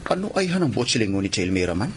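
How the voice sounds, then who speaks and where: Lively, friendly young villager. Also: male voice, on the low side, rapid-fire, a little hiss or hum. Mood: puzzled. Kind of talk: radio drama acting.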